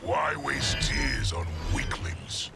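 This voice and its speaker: A brutal warlord emperor with a gravelly, booming voice that swings widely as he bellows orders and scorn. Loud orchestral game music sits behind him. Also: lively intonation, slow, exaggerated voice. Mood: menacing. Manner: commanding, contemptuous, bellowing. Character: tyrannical male warlord emperor, arrogant, brutal. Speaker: villain. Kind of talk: video game cutscene acting. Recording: game cutscene over loud orchestral score.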